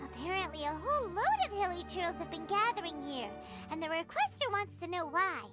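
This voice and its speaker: A woman voicing a tiny, childlike girl fairy sidekick in a video game, chirpy, naive and excitable, with a squeaky voice. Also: fairly quick. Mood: curious. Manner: refers to self in third person, exclamatory. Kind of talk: video game character dialogue. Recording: game audio with prominent music. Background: loud game music.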